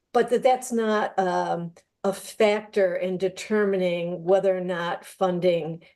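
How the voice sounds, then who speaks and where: A woman in a panel discussion, speaking in long, measured sentences and hesitating as she searches for words. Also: mid-range voice, darkish tone. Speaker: theatre panel participant.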